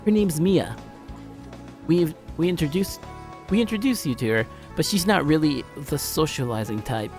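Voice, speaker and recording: A man's voice: on the high side, lively intonation, moderate pace, darkish tone, faint music behind, voice pushed up. A man voicing a teasing, gossipy teenage classmate in a visual novel, talking informally.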